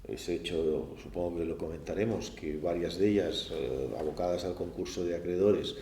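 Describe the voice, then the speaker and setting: A man in his sixties with a Barcelona Spanish accent, recounting his memories at a panel in a measured, explanatory way, often ending phrases with a questioning tag. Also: sits low, warm tone. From Barcelona, Spain. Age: 60s. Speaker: former port project manager giving testimony. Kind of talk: oral history recollection at a panel discussion. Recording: roomy echo, faint background noise.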